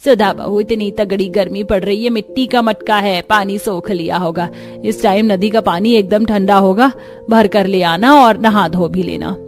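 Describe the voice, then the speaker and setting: A woman's voice: mid-range voice, normal speed, soft background music, lightly acted. A woman voicing a weary, practical older village mother-in-law in a cartoon story. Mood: reassuring.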